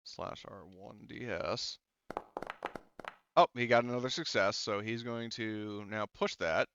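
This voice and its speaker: Slow, low-voiced male game master. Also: steady tone, lo-fi sound, noisy background. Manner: halting, many ums, counts dice aloud. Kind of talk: running a tabletop game, rolling dice.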